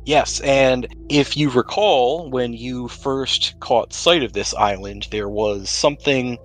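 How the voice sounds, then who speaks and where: A man's voice: mid-range voice, slow pace. A man speaking as game master, narrating a tabletop roleplaying game in a conversational way.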